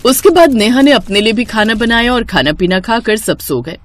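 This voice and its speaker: A woman's voice: fairly high pitch, expressive, moderate pace, a little hiss or hum. A woman reading scripted narration for a cartoon moral story.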